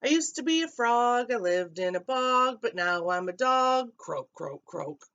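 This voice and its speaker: A woman's voice: fairly high pitch, animated, unhurried, fairly bright tone, clear, resonant tone, muffled recording, voice pushed up. A woman voicing a young male frog in a storybook, sing-song and proudly convinced he is a puppy.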